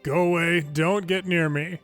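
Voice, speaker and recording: A man's voice: fairly high pitch, steady tone, measured pace, a bit bright, faint music behind. A man hosting a game video, reading the story dialogue aloud in an informal, amateur way with casual asides. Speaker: gameplay video host reading subtitles aloud.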